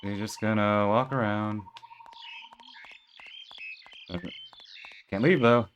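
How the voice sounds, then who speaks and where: A man's voice: lowish voice, fairly even intonation, takes it slowly, highs cut off, a little hiss or hum. A young man thinking aloud while playing a game, rambling and correcting himself as he goes. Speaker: streamer playing a video game. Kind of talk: casual let's play commentary.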